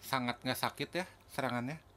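A man's voice: medium pitch, fairly even intonation, a little fast, sharp tone. A man with an Indonesian accent giving casual commentary on a video game he is playing. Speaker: gaming video host. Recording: clear background noise, game ambience.

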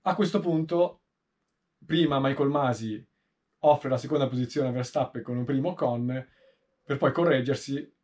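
A man in his thirties from Italy giving informal, explanatory race commentary in a measured way, often pausing mid-sentence. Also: medium pitch, a little mellow. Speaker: motorsport video host. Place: small indoor room, seated in a sim racing seat.

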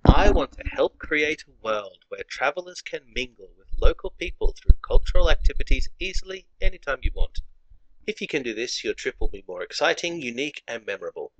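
A man's voice: medium pitch, sing-song, brisk, a little hiss or hum, rough recording. A man narrating a travel promotion in an upbeat, persuasive scripted voice-over.

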